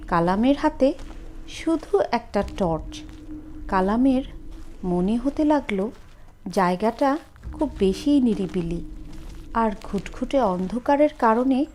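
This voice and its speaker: A woman narrates a scripted horror story dramatically, with suspenseful, deliberate phrasing and pauses. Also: a little high, a little mellow, slight background noise.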